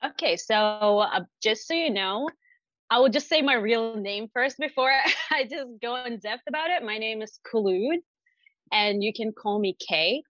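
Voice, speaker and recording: A woman's voice: a little high, expressive, fairly bright tone, no room sound. Arabic-speaking woman guest, dull dry audio. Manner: halting, many "like, you know" fillers. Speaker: business coach guest. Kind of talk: podcast interview.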